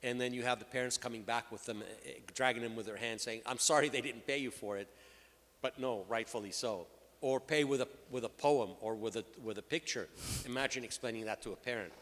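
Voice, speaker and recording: A man's voice: mid-range voice, brisk, a bit bright. A middle-aged man from Lebanon speaking as a panelist, telling his own story at a conference. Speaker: panelist, payments executive. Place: large conference hall. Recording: reverberant hall, PA sound.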